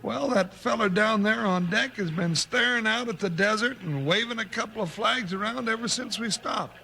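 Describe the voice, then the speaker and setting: High, gravelly cowboy sidekick. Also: male voice, moderate pace, bright, forceful tone, exaggerated voice. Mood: puzzled. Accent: American Western drawl. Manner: folksy, comically exclaiming. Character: folksy, excitable cowboy sidekick, comic and talkative. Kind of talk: 1950s radio western acting. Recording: noisy vintage 1950s radio recording.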